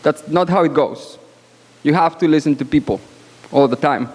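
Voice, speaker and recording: A woman born abroad speaks as a panelist at a conference, with a loud voice and a frank, assertive, deliberate delivery broken by pauses, into a microphone in a banquet hall. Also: deep voice. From born outside Canada. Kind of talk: conference speech. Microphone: microphone at podium. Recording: long hall reverb.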